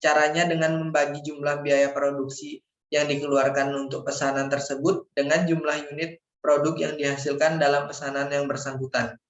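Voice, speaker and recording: A man's voice: fairly high pitch, level tone, moderate pace. A young man, a university student formally reading out a scripted slide presentation, sometimes stumbling over words. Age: young adult. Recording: echoey room, dull lo-fi microphone.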